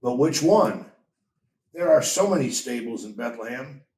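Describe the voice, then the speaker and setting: A man's voice: mid-range voice, expressive, a little slow, a little mellow. A man voicing an earnest, urgent shepherd father in a storybook, speaking emphatically. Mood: exasperated. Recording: roomy echo, distant from mic.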